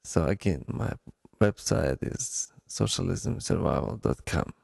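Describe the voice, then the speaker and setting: A man with a Ukrainian accent hosting a solo podcast, speaking in a deliberate, halting way with pauses. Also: low voice, expressive, vocal fry, a little mellow, slightly rough, dry recording, studio quality. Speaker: podcast host.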